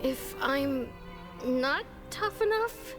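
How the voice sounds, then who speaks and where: A young boy grumbles in a high, boyish whine. He sounds sulky and put-upon, in anime game dub style, with music clearly underneath. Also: expressive, drawn-out words, heavily acted. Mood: puzzled, uneasy. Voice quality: boyish, whiny.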